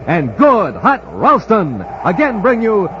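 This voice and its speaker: Punchy American male announcer, muffled vintage radio. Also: slow. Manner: punchy, excited pitchman delivery. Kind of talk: 1950s radio announcing, scripted narration and ad. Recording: muffled, faintly noisy 1950s radio recording.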